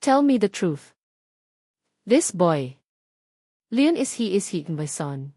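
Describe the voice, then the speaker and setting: A woman with a Filipino accent voicing a shaken, demanding young father in an audio drama, urgent, pained and halting. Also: medium pitch, very animated, warm tone, no echo, clean studio sound. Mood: pained, pleading. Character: shaken, demanding young father confronting his lost love.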